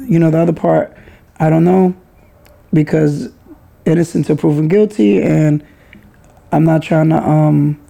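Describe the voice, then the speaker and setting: A middle-aged man from the Bronx talks through his podcast in a loose, rambling way. His voice sits high for a man and is gravelly and dark. He hedges and circles back as he goes. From New York, the Bronx. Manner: rambling, hedging, conversational. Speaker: podcast host. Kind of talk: casual solo podcast.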